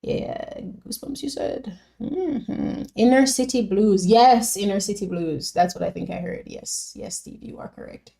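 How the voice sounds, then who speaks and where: A young woman who grew up in Jamaica chats loosely through a live stream, reading out viewers' messages and answering them one by one. Her voice sits in a middle register with a warm, mellow tone. She talks freely, with plenty of "um"s and "like"s along the way. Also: medium pitch, unhurried, gravelly. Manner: chatty, answers viewers by name, frequent fillers. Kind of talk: casual live-stream chat.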